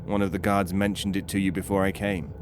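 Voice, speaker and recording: A man's voice: sits low, little pitch movement, brisk, slight background noise, close to an everyday voice. A man playing an uneasy, stern doctor in an audio horror drama, speaking with formal, clinical control. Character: uneasy, stern British male doctor interrogating a prisoner.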